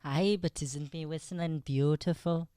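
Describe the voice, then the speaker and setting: A man's voice: on the high side, steady tone, a little slow, warm tone, clean tone, dry recording, studio quality, natural voice. A man playing a vain, eager lad with an Irish accent in an improvised radio-style comedy. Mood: boastful. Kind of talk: improvised old-time radio comedy acting.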